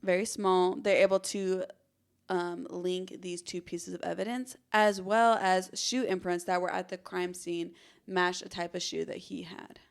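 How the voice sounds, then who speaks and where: A woman's voice: lowish voice, steady tone, a little slow, vocal fry. Woman casually telling a true-crime story. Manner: casual, frequent "like" as hedging. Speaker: true-crime podcast host.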